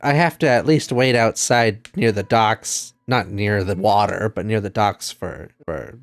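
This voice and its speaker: A man voicing a grateful, stubborn wounded metal warforged in a tabletop game, speaking haltingly and earnestly. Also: on the low side, vocal fry, no echo, voice pushed down.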